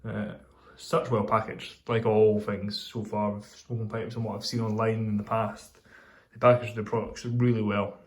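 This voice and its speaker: Rambling Scottish man, low voice. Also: little pitch movement. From Scotland. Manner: rambling, hesitant, many ums and restarts; pauses while lighting a pipe. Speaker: pipe tobacco reviewer. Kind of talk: casual video review.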